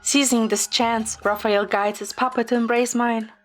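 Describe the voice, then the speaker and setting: A woman's voice: on the high side, little pitch movement, normal speed. A woman reading scripted first-person story narration. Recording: music behind the voice. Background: music.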